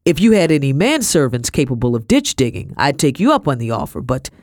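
A woman voicing a weary male colonel of colonial times, stiff and measured, in a storybook reading. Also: extremely low pitch, big pitch swings, darkish tone, no room sound, clean studio sound. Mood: measured, firm.

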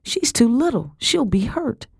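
A desperate young servant girl, voiced by a woman narrator, pleading in a high, urgent voice. She is protective and earnest, in a dramatic storybook told in a dry recording. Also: very animated, very slow, gravelly, darkish tone, husky, dry recording, exaggerated voice.

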